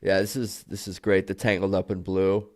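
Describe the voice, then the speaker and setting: A man's voice: deep voice, little pitch movement, normal speed. A man hosting a podcast, chatting casually and rambling with many fillers. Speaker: podcast host.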